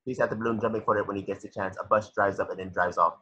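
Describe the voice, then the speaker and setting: A man's voice: lowish voice, steady tone, rapid-fire, pushed and bright, slightly rough. A man narrating a cartoon script's stage directions in a matter-of-fact way, sometimes stumbling over the words. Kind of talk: reading a cartoon script aloud.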